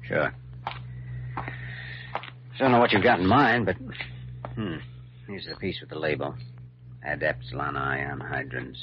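A male American actor playing a calm, quick-thinking hospital doctor in a classic radio drama, speaking in a measured, reasoning way. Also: very deep voice. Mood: calm, wry. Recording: dull, noisy vintage radio recording with hum.

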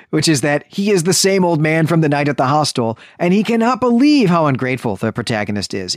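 A man's voice: fairly high pitch, dry recording, clean studio sound. A man hosting a podcast, recounting and discussing a story in a conversational way. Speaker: podcast host. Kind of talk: animated story recap and literary discussion.

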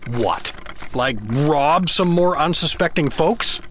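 A man's voice: on the high side, animated, takes it slowly, fairly bright tone, a little hiss or hum. A man playing a well-meaning but clueless dad turned adventurer in a fantasy audio drama, hesitant and apologetic. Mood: pleading, indignant.

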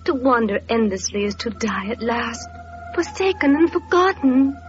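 High-voiced, pleading lovelorn woman. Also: sing-song. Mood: pleading, sorrowful. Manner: slow, melodramatic. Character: tender, pleading woman in love. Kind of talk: old-time radio drama acting. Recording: muffled vintage radio recording, faint music.

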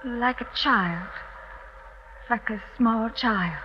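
An actress playing a composed, resolute young French wife with measured, formal delivery in a 1950s radio drama. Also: female voice, fairly high pitch. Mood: hesitant, candid. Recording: muffled vintage radio recording, faint hiss.

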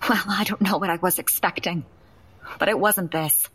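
A woman's voice: on the low side, normal speed, a bit bright, husky. An actress playing a fed-up young wife and mother, blunt and confrontational, in a naturalistic audio drama. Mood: hurt, incredulous.

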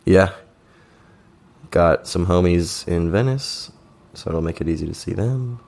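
A man's voice: low voice, expressive, a little slow, creaky, a little mellow. A young man co-hosting a podcast, telling festival stories in a laid-back, joking way, his voice a bit strained. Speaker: podcast co-host. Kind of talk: casual chat.

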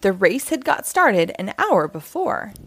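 Lady reading a kids book out loud, slow and singsong expressive, bit of creak in the voice. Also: medium pitch, no echo. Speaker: children's book reader. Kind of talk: expressive read-aloud storytelling.

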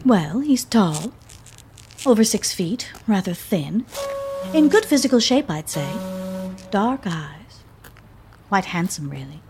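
An actress playing a frightened but witty young widow in a radio drama, with wry, quick retorts. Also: female voice, medium pitch, very animated, music in the background. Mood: wry, lively.

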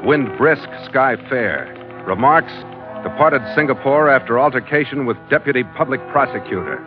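A man's voice: medium pitch, expressive, normal speed, lightly acted. An actor playing a weary, tough sea captain narrating his log in terse 1940s radio style. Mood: clipped, businesslike. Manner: terse, hard-boiled narration. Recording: muffled old radio recording with music.

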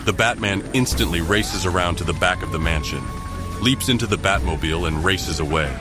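Deep-voiced male drama narrator. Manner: measured, descriptive. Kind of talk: scripted narration of an audio drama. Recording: loud music score behind.

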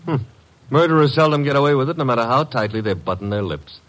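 A man's voice: medium pitch, expressive, fast, a bit bright, close to an everyday voice. A man playing a breezy, sardonic, wisecracking assistant to a detective in an old radio drama. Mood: breezy, amused. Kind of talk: 1950s radio drama acting.

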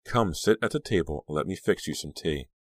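A man voicing a sinister small woman in a storybook who poses as a gentle aunt, firm, blunt and coaxing. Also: low voice, expressive, fairly quick, no echo.

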